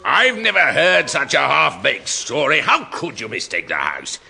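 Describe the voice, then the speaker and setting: An actor playing a blustering, short-tempered senior civil servant, bossy and exasperated, in a vintage radio comedy. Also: male voice, medium pitch, big pitch swings, a little slow, creaky, over music. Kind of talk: 1960s radio comedy acting. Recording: faintly noisy vintage radio recording.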